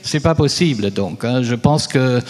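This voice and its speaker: A man's voice: medium pitch, measured pace. A man whose first language is Italian speaks on a round-table panel in a chatty, anecdotal way, often adding "hein". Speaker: panelist, writer. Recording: long hall reverb.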